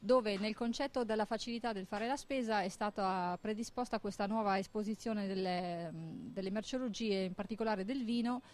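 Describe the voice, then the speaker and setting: A woman's voice: mid-range voice, darkish tone. Businesslike woman presenting with a handheld mic. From Italy. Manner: measured, businesslike, with frequent hesitations. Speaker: marketing director. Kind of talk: corporate presentation. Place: inside a supermarket.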